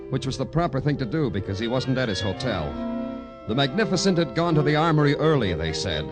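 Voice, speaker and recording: A man's voice: medium pitch, natural voice. A man playing a sardonic, world-weary police detective in a 1950s radio noir drama, with clipped, dry delivery. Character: hard-boiled, sardonic male Broadway police detective. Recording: old radio drama broadcast.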